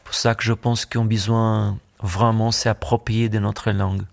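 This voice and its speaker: A Brazilian man speaking French with a Brazilian accent, a musician guest in a radio studio interview, pausing often to search for words. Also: fairly low pitch, level tone, creaky. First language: Brazilian Portuguese.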